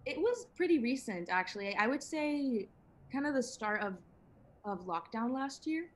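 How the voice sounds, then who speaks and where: A woman's voice: fairly high pitch, very animated, normal speed. Guest singer, woman, 18, from Venezuela; casual, chatty, restarts often; faint noise behind. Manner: chatty, laughs often, many fillers and restarts. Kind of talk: casual interview chat.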